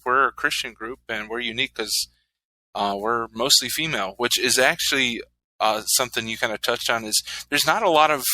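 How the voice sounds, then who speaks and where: A man in his thirties hosting a casual podcast interview, relaxed and rambling with fillers. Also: mid-range voice, no echo, low-quality recording. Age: 30s. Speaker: podcast host.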